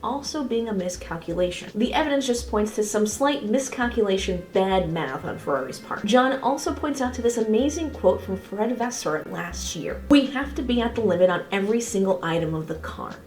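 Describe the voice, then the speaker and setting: A woman's voice: mid-range voice, lively intonation, fairly quick, hardly any pauses, a little mellow. A woman hosting a semi-scripted race review, speaking in an informal, opinionated and emphatic way. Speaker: motorsport video creator and host. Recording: roomy echo with faint music behind.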